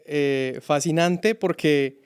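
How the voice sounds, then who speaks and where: A man from Colombia, a youth pastor telling his story as a podcast guest, reflective and halting. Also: on the high side, clean tone. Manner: reflective, halting with many restarts. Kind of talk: casual podcast conversation.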